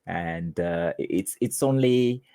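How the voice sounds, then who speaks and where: A man from Haiti whose first language is Haitian Creole, a teacher answering interview questions in a halting way with many restarts. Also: sits low, lively intonation, mellow, dry recording, studio quality. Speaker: teacher and guest.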